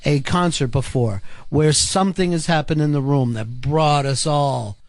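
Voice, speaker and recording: Higher-voiced man, hesitant and thoughtful. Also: normal speed, no echo. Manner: thoughtful, hesitant, many restarts. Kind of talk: casual radio chat.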